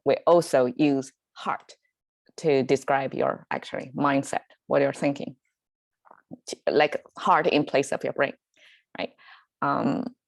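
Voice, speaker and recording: Low, gravelly-voiced woman teaching vocabulary. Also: no room sound. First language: Chinese. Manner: chatty and conversational, with fillers and small laughs. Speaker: language teacher and host. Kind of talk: casual online vocabulary lesson. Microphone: video call setup.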